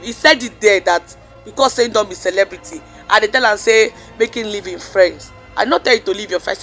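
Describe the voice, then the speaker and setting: A man's voice: high-pitched, sharp tone. A man with a Nigerian accent complaining in mixed English and Pidgin, indignant, telling his side with rhetorical questions.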